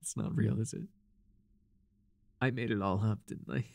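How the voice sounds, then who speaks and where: A man playing a fanatical, desperate cult leader devoted to a dark master, theatrical and intense, in an immersive audio roleplay. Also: lowish voice, fairly even intonation, moderate pace, creaky. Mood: shaken, despairing. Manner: theatrical, intense, stammering when shaken.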